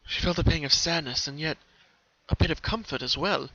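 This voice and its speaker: A man's voice: fairly high pitch, fairly quick, pushed and bright. A man narrating a story as a dramatic reading, on a muffled lo-fi home recording.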